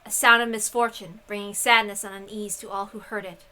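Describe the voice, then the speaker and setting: A woman giving a dramatic, theatrical narrated reading of a story in an expressive manner. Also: medium pitch, brisk, a little hiss or hum. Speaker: reader of a visual novel.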